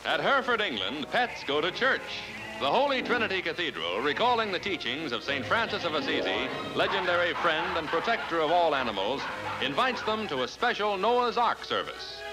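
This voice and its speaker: American male 1940s newsreel announcer, high and animated, jaunty theatrical delivery, vintage soundtrack. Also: normal speed, poor audio. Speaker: newsreel narrator. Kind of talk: 1940s newsreel commentary, scripted narration. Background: loud orchestral newsreel music.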